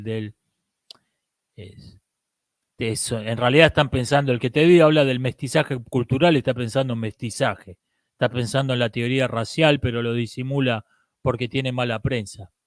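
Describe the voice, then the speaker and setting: Argentine male host, mid-pitched, emphatic casual opinion talk, dry close mic. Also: fairly even intonation, no room sound. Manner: emphatic, repeats himself, halting restarts. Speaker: livestream host answering viewer questions.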